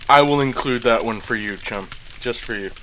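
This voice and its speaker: A man's voice: medium pitch, moderate pace, slight background noise, poor audio. A man casually chatting with his chat while live-streaming a game at home, rambling and chuckling. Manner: relaxed, rambling, chuckling asides.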